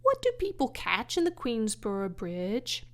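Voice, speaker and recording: A woman's voice: on the high side, wildly swooping pitch, measured pace, heavily acted. A woman voicing a naive, boastful young male pig in a children's storybook, earnest and childlike. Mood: eager.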